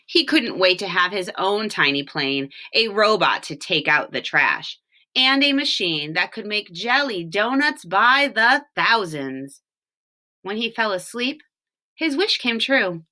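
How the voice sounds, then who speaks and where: A woman reading a children's storybook aloud, with expressive, deliberate phrasing and short explanations. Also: mid-range voice. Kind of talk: read-aloud storytelling with brief picture explanations.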